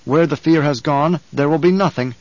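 A man voicing a young man who recites in a low, controlled manner to master his fear. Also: normal speed, a little mellow, no room sound, lightly acted. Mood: steady. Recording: dull, dry studio recording.

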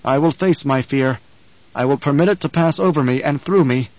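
A man voicing a young man who recites in a low, controlled manner to master his fear. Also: moderate pace, a little mellow, no echo, close to an everyday voice. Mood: steady. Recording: dull, dry studio recording.

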